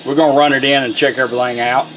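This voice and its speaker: A man with a Southern US accent giving a casual, plain-spoken, unhurried product demonstration as a radio restorer. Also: medium pitch, flat delivery, fairly bright tone, faint music behind.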